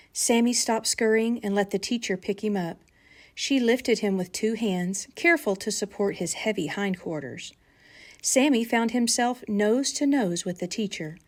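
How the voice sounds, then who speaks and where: A woman reading a children's storybook aloud, with careful storyteller phrasing meant for young listeners. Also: mid-range voice. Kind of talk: animated read-aloud storybook narration.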